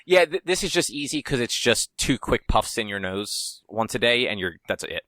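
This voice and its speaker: A man's voice: mid-range voice, big pitch swings, normal speed, bright, forceful tone, no echo. A man co-hosting a podcast, talking in a laid-back, rambling way and swearing casually. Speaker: podcast co-host. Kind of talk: casual chat.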